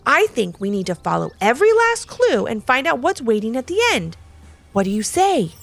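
An excited little boy from a children's storybook, acted out by a woman. The pitch is high and swings widely. He sounds eager and boastful, exclaiming with enthusiasm. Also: moderate pace, creaky, soft background music, exaggerated voice.

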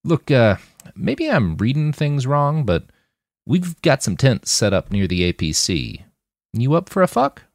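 A man's voice: medium pitch, big pitch swings, unhurried, no echo, studio quality, voice pushed up. A man voicing a friendly, plain-spoken army mechanic in an audiobook, casual and frank. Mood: tentative.

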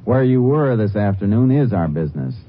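A deep-voiced Texas Ranger. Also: male voice, very animated, slight background noise. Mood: firm. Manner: measured, firm questioning. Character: steady Texas Ranger lawman, calm and dogged. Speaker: narrator and lead character. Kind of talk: 1950s radio drama acting. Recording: tinny old radio broadcast.

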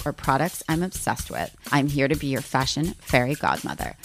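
Low voiced woman doing a bubbly podcast ad, quiet music under it. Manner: bubbly, upbeat sales pitch. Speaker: podcast host in a promo. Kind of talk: scripted promo read. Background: faint music.

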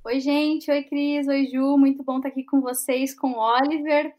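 Cheerful high-voiced Brazilian woman professor. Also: flat delivery, normal speed, darkish tone. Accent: Brazilian Portuguese. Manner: cheerful, self-deprecating. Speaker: guest, professor and researcher. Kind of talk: casual podcast introduction.

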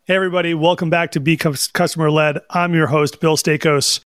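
A man's voice: on the high side, steady tone, brisk. A man hosting a podcast interview, enthusiastic and casual, dropping in "like" as he talks. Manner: enthusiastic, casual, uses fillers like "like". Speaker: podcast host.

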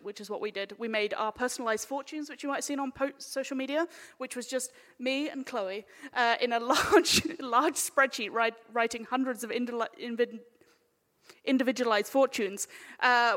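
A woman's voice: fairly high pitch, a bit bright. A woman chairing a live panel informally, with a jokey, cheeky manner. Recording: echoey hall with long reverb.

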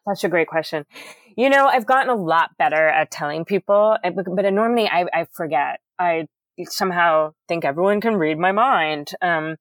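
Loud, enunciating woman, dry sound. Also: fairly low pitch, moderate pace, no echo. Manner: loud, carefully enunciated speech; earnest, animated, many fillers. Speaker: guest. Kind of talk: candid conversational interview.

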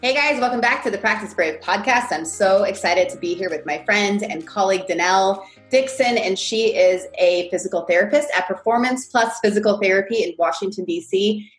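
A woman's voice: mid-range voice, fairly quick, hardly any pauses, soft background music. A woman hosting a podcast, upbeat and enthusiastic as she introduces the show and her guest. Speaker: podcast host, coach.

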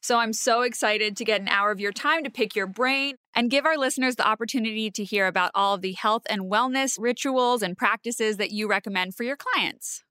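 A woman's voice: fairly high pitch, fairly quick, few pauses, bright, forceful tone, clean tone, no echo, studio quality. A woman hosting a podcast, reading an enthusiastic scripted intro and then chatting eagerly with her guest. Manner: gushing, enthusiastic. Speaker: podcast host.

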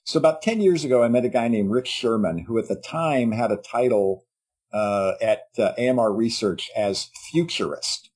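Husky-voiced male podcast host. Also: mid-range voice, normal speed. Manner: lively, chatty questioning. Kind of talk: interview hosting and scripted outro.

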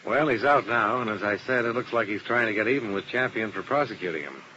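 A man's voice: lowish voice, expressive, fast talker, pushed and bright. An actor playing a hard-boiled male insurance investigator who narrates the case in a clipped, matter-of-fact way, in a 1950s radio drama. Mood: probing. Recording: muffled old radio recording with hiss.

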